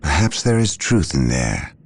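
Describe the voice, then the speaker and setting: Very deep-voiced solemn marine advisor. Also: male voice, very animated, husky, heavily acted. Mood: solemn counsel. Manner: measured, solemn, formal. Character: calm, loyal male space marine advisor, old friend. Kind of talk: dramatic video game cutscene acting.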